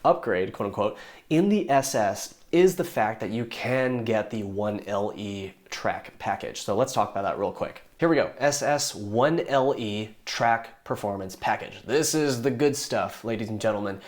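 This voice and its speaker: Hoarse, chatty young man explaining. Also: on the low side, animated, moderate pace. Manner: enthusiastic, rambling with fillers and restarts. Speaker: YouTube car reviewer. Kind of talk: casual explainer talk.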